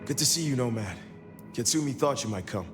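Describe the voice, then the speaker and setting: A man's voice: mid-range voice, animated, fairly quick, music in the background, lightly acted. An actor playing an easygoing, friendly male street vampire in a video game, casual and plainspoken.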